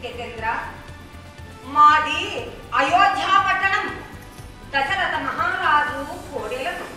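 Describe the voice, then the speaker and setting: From far off in a very echoey space comes a devoted princess acted by a woman, extremely high-pitched. She declaims in formal, drawn-out folk puppet theatre style. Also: expressive, pushed and bright, heavily acted. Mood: courteous. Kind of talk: declamatory Telugu folk puppet theatre, stylized old diction. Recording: echoey hall, distant mic, music behind.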